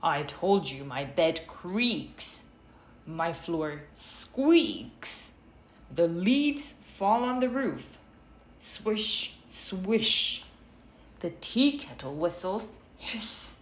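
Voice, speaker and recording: A woman's voice: fairly low pitch, sing-song, very slow, exaggerated voice. A woman voicing an exasperated, angry storybook man who complains emphatically in a sing-song list. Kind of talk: storybook read-aloud character. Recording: roomy, noticeable background noise.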